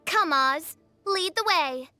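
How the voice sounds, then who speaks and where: Grandiose teenage anime princess, extremely high, theatrical and archaic. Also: female voice, lively intonation, takes it slowly, exaggerated voice. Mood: grandly awed. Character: grandiose teenage girl, self-styled princess of darkness. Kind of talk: anime game cutscene acting. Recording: loud music behind the voice.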